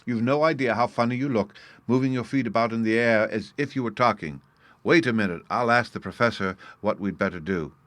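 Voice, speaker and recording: A man reading aloud, voicing a bright, earnest little fairy girl in a storybook. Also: medium pitch, fairly quick, dry recording, close to an everyday voice. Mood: lively.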